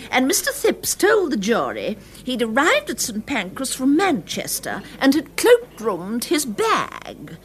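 Posh old english duchess mum, gossipy n scatty, high voice going all over, radio play. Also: female voice, wildly swooping pitch, a little slow, hardly any pauses, exaggerated voice. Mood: confiding. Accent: upper-class English. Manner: gossipy, rambling, effusive. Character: chatty, scatty, upper-class elderly duchess and mother. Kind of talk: radio drama acting.

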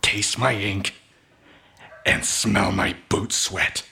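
A man's voice: low voice, fairly even intonation, very slow, exaggerated voice. A man acting a put-upon detective's sidekick who turns coolly menacing, with a theatrical, sardonic delivery, in a hard-boiled comic audio drama. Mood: smug, menacing. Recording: clear background noise with clicks.

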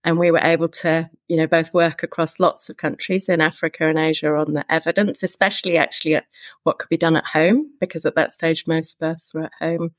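Low-voiced woman, reflective guest. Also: steady tone, fairly quick, no echo, studio quality. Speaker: guest professor. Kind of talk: conversational podcast answer.

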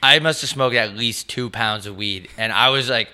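A raspy man in his twenties, co-hosting a podcast with crude, joking casual chat. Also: mid-range voice, normal speed, pushed and bright. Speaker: podcast co-host.

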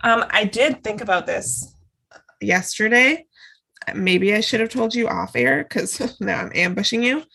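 A young woman co-hosting a podcast while getting over a cold, her voice nasal and stuffed up. A gravelly fry runs through her speech, and she stays bubbly and quick to laugh. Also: medium pitch, animated, pushed and bright. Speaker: podcast co-host. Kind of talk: casual chat.

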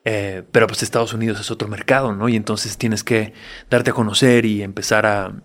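A man's voice: fairly low pitch, a little fast. Laid-back Mexican man, frank and slangy. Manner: relaxed, frank, slangy, with frequent "¿no?" tags. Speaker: actor and guest. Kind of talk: casual interview chat.

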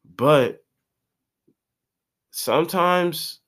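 A man's voice: a little high, extremely slow, a bit bright. A man of about twenty, congested, rambling in a slangy, profane solo podcast monologue. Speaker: podcast host.

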